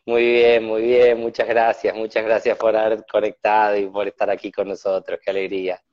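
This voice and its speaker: A man hosting a live video-call interview, talking in a relaxed, reflective, rambling way. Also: lowish voice, normal speed. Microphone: phone on a video call. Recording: dull lo-fi video-call audio.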